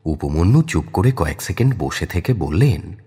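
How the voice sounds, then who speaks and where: A man narrating a scripted story dramatically, in a dark, husky voice. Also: sits low, very animated, moderate pace. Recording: long reverb over music bed. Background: music.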